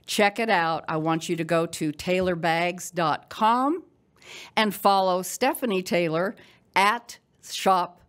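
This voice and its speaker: An older woman hosting a podcast, speaking conversationally to her audience and guest. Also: fairly low pitch. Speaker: podcast host. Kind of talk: conversational interview and sponsor read.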